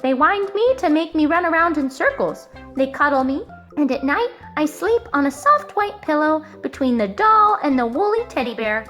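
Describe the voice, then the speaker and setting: Lady doing a little toy mouse guy for storytime, high, sing-song, really lilting. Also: very animated, normal speed, few pauses, fairly bright tone, soft background music. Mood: proud. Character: contented male wind-up toy mouse, cherished and cheerful. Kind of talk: children's storybook read-aloud.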